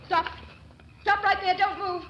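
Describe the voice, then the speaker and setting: A woman's voice: very high-pitched, fairly even intonation, unhurried, highs cut off, slight background noise. An actress playing a nervous, secretive woman who foresees murders, speaking in a breathless, fearful way in an old radio drama. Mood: frightened. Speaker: client with premonitions. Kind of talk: 1950s radio drama acting.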